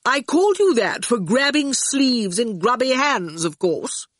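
A sarcastic, superior young sorcerer speaks in a high, sharply lifted tone, as a woman reading a storybook puts him on. His voice leaps around with scornful emphasis, and it sits close and dry with no room around it. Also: lively intonation, unhurried, no room sound. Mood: haughty. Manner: haughty, sarcastic. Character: haughty young male sorcerer, mocking and touchy.

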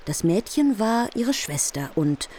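An actress narrating a medieval heroic saga in a radio play, in composed literary prose. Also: female voice, mid-range voice, big pitch swings, a little slow, mellow, slight background noise. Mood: measured.

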